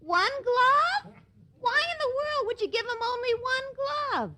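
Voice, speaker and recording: A woman's voice: extremely high pitch, sing-song, unhurried, bright, forceful tone, full character voice. An actress playing a chatty, dry switchboard operator trading banter in a 1950s radio comedy. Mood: gossipy. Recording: noisy old radio recording.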